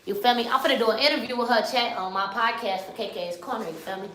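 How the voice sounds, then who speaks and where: A woman's voice: a little high, steady tone, quick pace, a bit bright, roomy sound, a little hiss or hum. A young woman from Chicago in her twenties, hosting a live stream in loose, slangy casual chat that keeps turning to the chat. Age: 20s. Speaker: livestream host.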